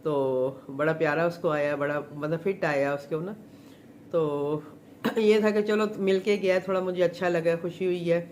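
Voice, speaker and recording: A woman's voice: lowish voice, steady tone, normal speed, a little mellow, slight background noise. Chatty middle-aged woman, sore throat. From Pakistan. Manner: chatty, rambling, many fillers. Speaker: home chef. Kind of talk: casual live chat.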